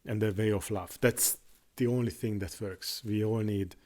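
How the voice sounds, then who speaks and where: Halting low-voiced man, Hungarian native. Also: fairly even intonation, a little mellow. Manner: halting, many restarts and fillers. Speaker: YouTuber. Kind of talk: unscripted monologue on faith.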